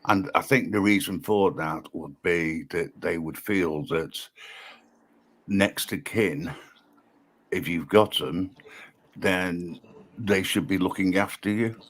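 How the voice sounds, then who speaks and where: A man's voice: takes it slowly, pauses often, a bit bright. A man, hosting a casual livestream and talking with many ums and restarts. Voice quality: deep voice. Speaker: livestream host.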